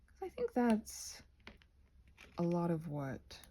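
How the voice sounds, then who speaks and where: Woman doing asmr craft chat, super soft n dark toned, husky whispery, kinda slow and wandering. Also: fairly low pitch, huge pitch swings. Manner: soft-spoken, often dropping into whispers. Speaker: ASMR creator rambling while painting a craft project. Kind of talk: ASMR rambling casual chat.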